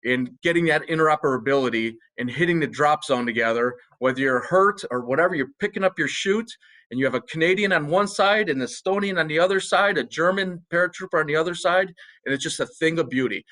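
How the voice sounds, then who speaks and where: American male military panelist, crisp bright edge, slightly high pitch, conversational and personal. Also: quick pace. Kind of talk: informal, personal panel remarks.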